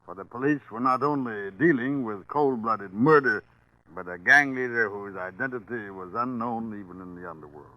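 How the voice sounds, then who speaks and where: A man as a retired New York police inspector gravely narrating a case in a scripted 1940s radio drama. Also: lowish voice, no room sound, close to an everyday voice. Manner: measured, grave storytelling. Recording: dull vintage 1940s radio broadcast.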